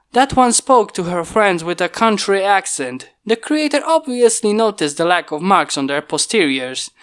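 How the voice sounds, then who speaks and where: A hobbyist reader narrating a fan-fiction chapter in a steady storytelling voice, recorded on a failing home microphone that pops. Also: medium pitch, clear, resonant tone. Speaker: fanfiction reader. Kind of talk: amateur audiobook narration.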